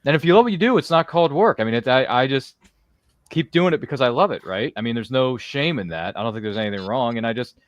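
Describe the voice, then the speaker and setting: A man's voice: medium pitch, lively intonation, fast talker, no echo, highs cut off. A man hosting a casual livestream roundtable, effusive and slangy, often stammering and repeating himself with lots of energy. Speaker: podcast host.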